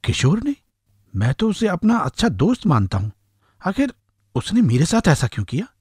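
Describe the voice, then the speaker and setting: Cartoon husband, acted by a man: earnest, anxious office worker, husky, highly animated intonation. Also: normal speed, dry recording, studio quality, heavily acted. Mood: shocked. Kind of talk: animated moral story voice acting.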